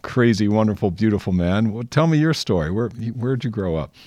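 Male host, low voice, dry close sound, friendly and chatty questioning. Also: expressive, fairly quick, warm tone, no echo. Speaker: interviewer, host. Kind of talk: casual interview conversation.

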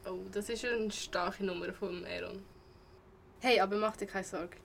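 Actress as supportive, frank young partygoer, middle register, audio play, slight ambience behind. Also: female voice, medium pitch, steady tone, moderate pace, vocal fry, close to an everyday voice. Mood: reassuring. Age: young adult. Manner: supportive, plain-spoken. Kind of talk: amateur audio drama dialogue. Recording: faint ambient noise behind.

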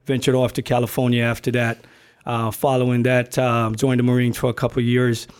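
A middle-aged man from Barbados, talking on a podcast in a relaxed, hesitant way with many fillers and restarts. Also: mid-range voice, fairly quick, clean tone. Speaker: agency communications staffer, podcast guest. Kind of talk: casual podcast chat.

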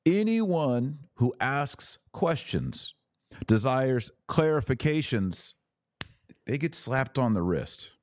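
A man hosting a podcast and giving live opinion commentary in deliberate, emphatic short phrases. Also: mid-range voice, very animated, no echo, clean studio sound.

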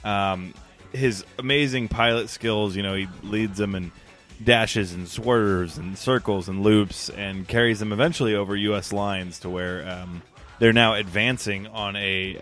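A man co-hosting a casual film podcast, telling and debating the story in a measured, tongue-in-cheek way. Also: lowish voice, steady tone, fairly bright tone, soft background music. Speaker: podcast co-host.

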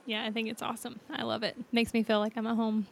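A woman's voice: a little high, little pitch movement, quick pace, creaky. A woman from North Florida with a faded Southern accent, hosting a casual interview with enthusiastic, encouraging remarks. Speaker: podcast host.

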